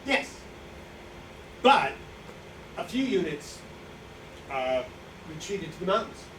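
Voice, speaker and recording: Guy playing bragging boozy old writer, defensive n rambly, very lively pitch, roomy stage reading, far from mic. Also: full character voice. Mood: proud, defiant. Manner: rambling, halting, self-justifying. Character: boastful, defensive, hard-drinking old male writer, bedridden. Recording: distant echoey room, noisy draft recording.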